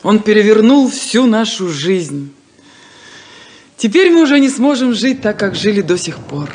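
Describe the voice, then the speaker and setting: An actress playing a heartfelt, emotional rancher's daughter in a 1970s radio drama. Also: female voice, medium pitch, very animated, unhurried, darkish tone. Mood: wistful.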